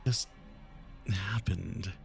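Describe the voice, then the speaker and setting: Creaky, breathy obsessive kidnapper played by a man. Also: deep voice, steady tone, drawn-out words, faint music behind, exaggerated voice. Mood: tender, threatening. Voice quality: breathy, often dropping into whispers. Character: obsessive, lovesick, menacing yandere kidnapper man, self-pitying. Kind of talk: roleplay ASMR monologue, dramatic and intimate.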